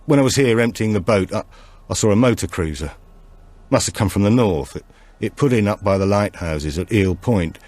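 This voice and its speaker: A man playing a nervous male petty thief in an audiobook, evasive, halting and repeating his words. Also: lowish voice, lively intonation, darkish tone, dry recording, natural voice.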